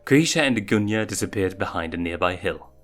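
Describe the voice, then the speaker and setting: A man's voice: low-pitched, lively intonation, fast talker, a bit bright, soft background music. A man narrating a story, reading scripted fiction aloud.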